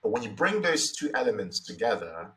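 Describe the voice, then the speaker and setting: A man's voice: mid-range voice, very animated, brisk, a bit bright, distant mic, low-quality recording. A Nigerian man in his forties, telling his story as an interview guest in a thoughtful, reflective way with many "you know" fillers. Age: 40s.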